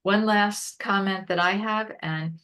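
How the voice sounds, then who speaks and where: A woman presenting an informal webinar, explaining things haltingly and rephrasing as she goes. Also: mid-range voice, fairly even intonation, fairly bright tone. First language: English.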